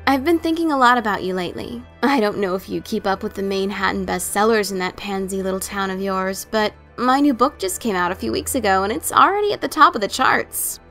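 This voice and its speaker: A woman's voice: medium pitch, fairly bright tone. A woman voicing a boastful adventurer-author mare reading out her letter in a dramatic story, smug, casual and teasing. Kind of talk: dramatic reading of fan fiction.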